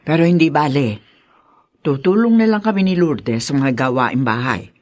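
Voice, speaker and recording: A woman playing a helpful, concerned older mother in a radio drama, with expressive delivery. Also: sits low, normal speed.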